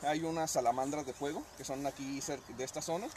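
A man's voice: a little high, fairly even intonation, fast, noisy background. Man eagerly describing the area outdoors. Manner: informative, eager to recommend. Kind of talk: casual explanation. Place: outdoor park. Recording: crowd chatter behind.

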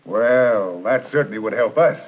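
A man in his fifties playing a brisk, agreeable army general with a husky voice, speaking in short phrases on an old film soundtrack. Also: medium pitch, lo-fi sound, natural voice. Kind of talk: 1960s training film acting. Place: meeting room, indoors.

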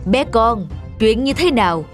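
An actor playing a calm, fair-minded middle-aged magistrate in a cartoon fairy tale, measured and authoritative. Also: male voice, extremely high pitch, faint music behind. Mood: measured, judicial.

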